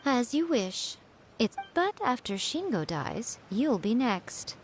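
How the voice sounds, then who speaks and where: A woman voicing a sly, poised shrine maiden with a smooth, condescending manner in a video game. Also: fairly high pitch, sing-song, slow pace, music in the background. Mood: coolly threatening.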